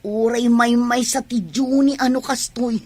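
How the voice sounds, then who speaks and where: An actress playing a sweet, devoted young pregnant wife in a family drama. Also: female voice, a little high, fairly even intonation, unhurried, dry recording. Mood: affectionate, calm.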